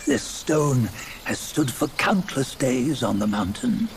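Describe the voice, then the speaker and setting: An actor playing a weary old sage, telling a legend slowly and gravely in a fantasy game. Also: male voice, medium pitch, big pitch swings, slight background noise. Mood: solemn, reverent. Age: older. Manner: slow, measured, grave. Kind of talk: solemn scripted storytelling in a fantasy game.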